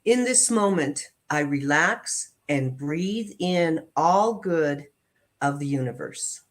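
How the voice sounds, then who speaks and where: A woman reading affirmations and inspirational text aloud in a measured, deliberate way, phrase by phrase. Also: deep voice, lively intonation. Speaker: prayer practitioner and reader.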